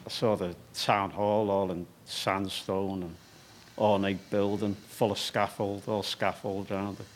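A man's voice: fairly low pitch, flat delivery, measured pace, a little hiss or hum. A man in his sixties with a Liverpool accent, a stone carver telling his story in an interview, hesitant with frequent fillers. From England. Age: 60s. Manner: hesitant, with many fillers and restarts.

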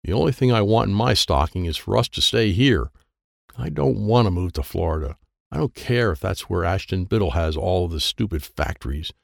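A man's voice: sits low, animated, fairly quick, gravelly, no echo, clean studio sound. A man voicing a stubborn, bossy, sporty older girl in a children's audiobook. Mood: indignant, upset. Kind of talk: children's audiobook character dialogue.

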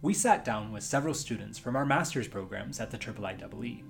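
A male university lecturer giving measured, scripted narration about a course. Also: on the low side, animated, gravelly, over music.

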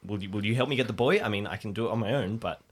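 Man acting as park ranger, fairly low pitch, eager, flustered, earnest, improvised radio play. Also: rapid-fire, close to an everyday voice. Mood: flustered, planning.

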